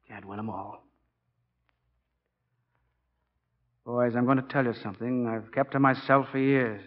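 A stubborn, fatherly football coach of about forty, played by a man in 1940s radio-drama style. His delivery is plain and measured, at a medium pitch. The sound is dull and band-limited, like an old broadcast. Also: lightly acted. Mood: grave, subdued. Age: 40s.

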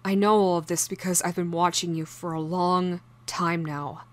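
A woman voicing an obsessive shapeshifting doppelganger posing as someone's ex, speaking haltingly in an intimate horror audio roleplay. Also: lowish voice, steady tone, close to an everyday voice. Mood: quiet menace. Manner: halting, stammering, soft-spoken in menace.

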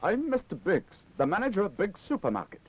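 A bossy puppet store manager, with very animated, commanding delivery. It has the officious snap of a sixties children's show, on a dull old film soundtrack. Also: male voice, sits high, brisk, husky, exaggerated voice. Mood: brisk, bossy. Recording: dull 1960s television film soundtrack, music.

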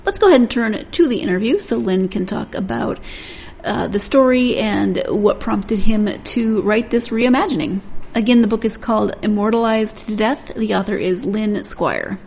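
Woman podcast host, lots of vocal fry, chatty book review style. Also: mid-range voice, normal speed, darkish tone, slight background noise.

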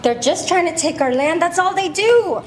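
A woman's voice: extremely high pitch, animated, moderate pace. A woman playing an exasperated, blunt older immigrant mother in a staged play reading. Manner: blunt, dismissive. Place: large glass-walled public hall, on stage. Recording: live stage microphone with background noise.

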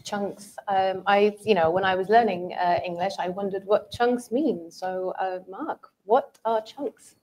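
A woman from Syria whose first language is Arabic, a teacher trainer speaking English thoughtfully with frequent uh and um pauses. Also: mid-range voice, darkish tone, a little hiss or hum, rough recording. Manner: thoughtful, hesitant, many uh and um pauses. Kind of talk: explaining in a live online session.

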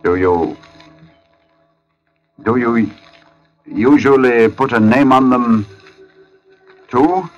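An actor playing an uneasy artist who narrates an eerie tale in a brooding, deliberate 1940s radio suspense style. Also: male voice, mid-range voice, highs cut off. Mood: shaken. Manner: brooding, deliberate, halting when shaken. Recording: old radio recording with music behind.

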